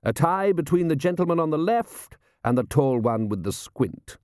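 A man's voice: on the high side, no echo, studio quality, voice pushed up. Man voicing a suave, menacing desert warrior. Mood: calm menace. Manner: formal, measured, deadpan courtesy.

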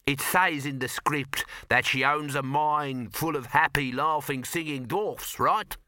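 A man's voice: fairly high pitch, measured pace, sharp tone, no room sound, voice pushed up. A man voicing a pedantic, grumbling dwarf miner who argues and explains at length. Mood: aggrieved.

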